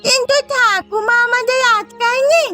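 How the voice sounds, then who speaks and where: Very high, sweet little village girl. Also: moderate pace, over loud music. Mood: caring, curious. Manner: sweet, eager. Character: little village girl, cheerful, comforting daughter. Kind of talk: animated moral story voice acting.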